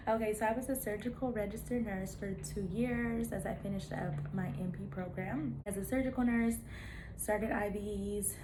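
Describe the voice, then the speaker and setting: A woman in her thirties talks straight to her viewers in an easy, chatty way, cheering them on with playful asides and laughs between thoughts. Her voice sits in a middle register. A faint hum from the room is behind her. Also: medium pitch. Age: 30s. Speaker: nurse practitioner vlogger. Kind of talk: casual chat to camera.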